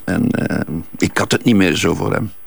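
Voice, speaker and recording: A man playing an evasive, self-assured businessman under questioning in a crime drama, with a Flemish accent, a gravelly husky voice and repetitive, dismissive replies. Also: deep voice, moderate pace, no echo, exaggerated voice. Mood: guarded, reminiscing. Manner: hesitant, repeats himself, dismissive.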